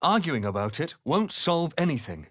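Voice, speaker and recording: A man playing a composed, wry fantasy adventurer for a video game, speaking in a measured, literary, theatrical way. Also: fairly high pitch, big pitch swings, a bit bright, dry recording, studio quality. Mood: wry, alert. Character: male fantasy adventurer hero narrating his exploits, composed, wry. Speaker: video game player-character voice actor. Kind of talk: dramatic fantasy game voice lines and narration.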